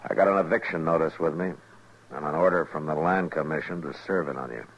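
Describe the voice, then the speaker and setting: An actor playing a stern, regretful American frontier marshal in radio western style, with a gravelly voice. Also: male voice, very deep voice, quick pace. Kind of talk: 1950s radio western acting. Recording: dull vintage radio recording.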